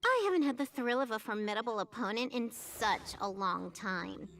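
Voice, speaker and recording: An actress playing a playful, teasing young hacker villain in a video game, with a smug manner. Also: female voice, on the high side, animated, normal speed, fairly bright tone. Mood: excited, smug. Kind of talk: scripted video game voice acting.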